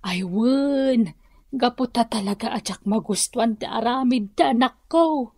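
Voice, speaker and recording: An actress with an Ilocano accent playing a gentle, pious, sorrowful elderly mother in a radio drama. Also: a little high, measured pace, no room sound. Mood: worried, ashamed. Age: older.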